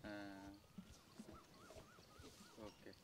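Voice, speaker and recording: A man interviewing a farm worker outdoors at a horse farm, prompting him with questions and repeating answers back. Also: very slow. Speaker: interviewer, channel host. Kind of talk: casual on-site interview.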